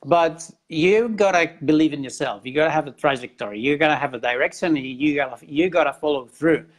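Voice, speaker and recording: Interview guest: man with a Spanish accent, somewhat high voice, earnest and halting, often restarts. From Spain, Catalonia. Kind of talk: casual interview storytelling.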